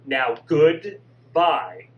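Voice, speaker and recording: A man's voice: high-pitched, sing-song, a little slow, roomy sound, lo-fi sound. A man voicing a fierce grieving mother. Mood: angry. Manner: sharp, emphatic scolding. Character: grieving middle-aged mother, fierce then tearful. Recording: distant mic with faint hum.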